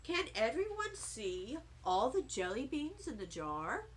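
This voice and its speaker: A woman's voice: high-pitched, big pitch swings, takes it slowly, a little hiss or hum, full character voice. A woman voicing a friendly, easygoing dad who patiently explains a party game in a children's story. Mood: calm, encouraging. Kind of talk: children's audiobook character voice.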